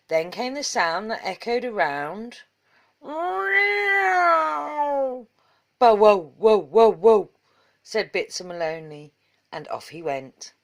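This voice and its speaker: A woman reading a children's storybook aloud in measured, rhythmic verse. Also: on the low side. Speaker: story reader.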